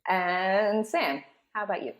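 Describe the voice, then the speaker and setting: A woman's voice: medium pitch, unhurried. A woman hosting a casual cast conversation as a voice director, with a jokey, affectionate manner and easy laughter. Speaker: host and voice director. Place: livestream, echoey room. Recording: roomy echo with light noise and clicks.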